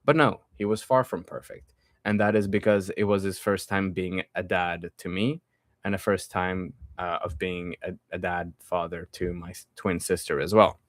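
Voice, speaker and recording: A young man in his twenties with a low voice chats casually on a live stream, answering viewers' comments and then returning to his topic. His delivery is easygoing and friendly, with plenty of trailing fillers. The sound is dry and close, with almost no room echo. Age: 20s. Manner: reads viewer comments aloud and answers them, drifting back to his topic.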